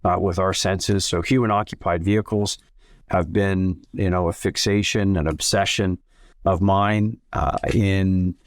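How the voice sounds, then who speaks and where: A man in his sixties with a fairly low voice talks as an interview guest. He tells his story conversationally, in a measured and earnest manner. The sound is dry and close, free of echo. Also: fairly even intonation. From Canada. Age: 60s. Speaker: interview guest, submarine company founder.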